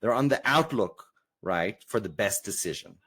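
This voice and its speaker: Lively South African man with a low, husky voice. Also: normal speed. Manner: animated, enthusiastic, stumbles over word starts. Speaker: podcast host. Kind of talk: casual interview conversation.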